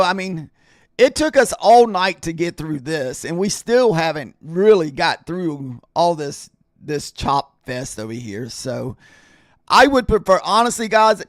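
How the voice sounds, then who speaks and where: Guy rambling on his trading stream, bit scratchy, higher voice, dry close sound. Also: no room sound. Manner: rambling, chatty, talks to viewers in chat. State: scratchy voice, clearing throat. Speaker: trading livestream host. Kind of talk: casual live-stream chat.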